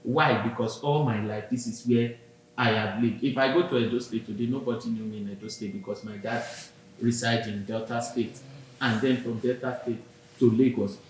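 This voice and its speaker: A man's voice: fairly even intonation, moderate pace. Nigerian man in a very echoey room. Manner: earnest, explanatory, builds points with personal examples. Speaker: podcast co-host. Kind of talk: casual discussion. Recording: very echoey room, faint rumble.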